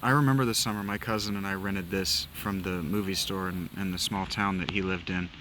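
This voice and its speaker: A man's voice: sits low, steady tone, fairly quick, noisy background. A man from Ohio giving casual, rambling commentary while playing a video game, reminiscing and swearing casually. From Ohio, USA. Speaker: let's play gamer.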